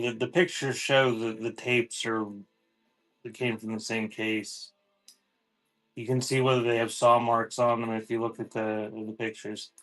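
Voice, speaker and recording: A man with a mid-pitched voice runs a live online sale. His delivery is casual and unscripted, like informal auction patter. Faint music plays behind him. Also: a bit bright. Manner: informal, off-the-cuff announcing. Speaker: host of a live online auction selling cassette tapes. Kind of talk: casual livestream sales patter.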